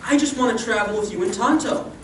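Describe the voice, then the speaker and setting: A man's voice: high voice, quick pace, a bit bright. A man playing an eager, respectful teenage nephew in an old-time radio western. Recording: distant echoey live stage recording, faint noise.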